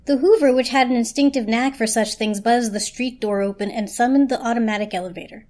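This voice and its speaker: A woman's voice: a little high, fairly quick, a little mellow. A woman narrating a children's story as a dramatic reading.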